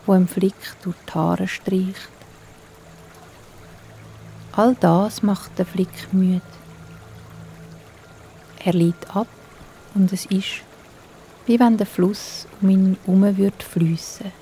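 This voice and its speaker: A woman with a Swiss German accent, telling a bedtime story in a soft, hushed, soothing way. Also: medium pitch, creaky.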